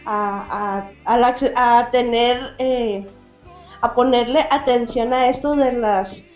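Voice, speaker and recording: A woman's voice: fairly high pitch, slow pace, reverberant, lo-fi sound, faint music behind. A woman from Mexicali, a cartoonist chatting as an interview guest, full of fillers and restarts. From Mexicali, Baja California, Mexico. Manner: chatty, many fillers and restarts, laughs often. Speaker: guest, cartoonist and event organizer. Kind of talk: casual interview chat.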